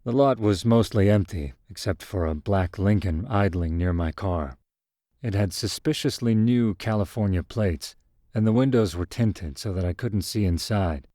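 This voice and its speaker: Low-pitched man narrating fiction, dry sound. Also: moderate pace, dark tone, dry recording. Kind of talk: scripted first-person fiction narration.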